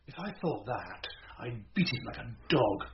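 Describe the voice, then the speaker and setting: An actor playing a foolish, timid, gullible knight with a British accent and a hesitant manner in a Shakespearean radio comedy. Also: male voice, medium pitch, big pitch swings, moderate pace. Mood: blustering. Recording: muffled, noisy old radio broadcast.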